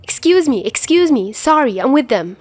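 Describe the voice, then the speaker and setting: Woman doing a worried lady, polite but firm, lively, in a story. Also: sits high, normal speed, dry recording, pitched above the performer's own voice.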